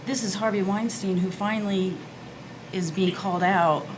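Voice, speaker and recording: A woman's voice: mid-range voice, steady tone, normal speed, background noise. A woman from New Jersey, a filmmaker talking candidly in a roundtable, earnest, emphatic and conversational. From New Jersey, United States. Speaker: documentary filmmaker on a panel. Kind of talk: candid personal roundtable talk.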